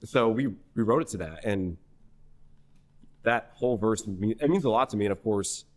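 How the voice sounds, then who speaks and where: A young man from New Hampshire, a singer-songwriter guest in a podcast interview, telling a story in a rambling, animated way full of restarts. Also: lowish voice, moderate pace. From New Hampshire, USA. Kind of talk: casual conversational interview.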